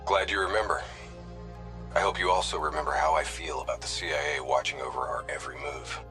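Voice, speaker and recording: An actor playing a grumpy, sardonic veteran soldier who trusts no one, in a video game cutscene. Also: male voice, very deep voice, steady tone, fairly quick, faint music behind. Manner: dry, sardonic.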